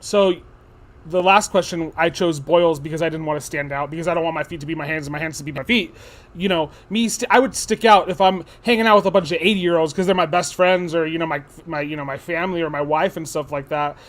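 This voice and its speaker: A man's voice: a little high, fast. Rambling, thoughtful man co-hosting. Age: 30s. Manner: rambling, thoughtful, many restarts. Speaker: co-host. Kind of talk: casual chat.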